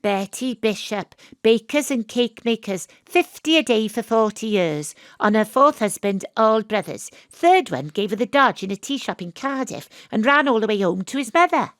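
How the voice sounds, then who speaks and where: An actress playing a wry, world-weary private detective, narrating and talking in an audio drama. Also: female voice, fairly high pitch, lively intonation, moderate pace, hardly any pauses, no echo, studio quality, lightly acted. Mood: wry, storytelling. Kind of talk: audio drama dialogue and noir-style narration.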